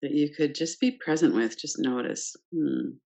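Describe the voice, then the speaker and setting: A woman teaching guided meditation, speaking calmly and gently in short phrases with pauses between them. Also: deep voice, creaky, muffled recording. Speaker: yoga nidra meditation teacher. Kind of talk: guided meditation, unscripted instruction.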